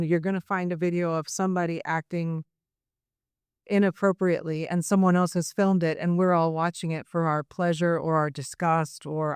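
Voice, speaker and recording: A woman's voice: on the low side, clean tone, no echo. A woman author on a panel building a thoughtful point step by step. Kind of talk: panel discussion answer. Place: library event space.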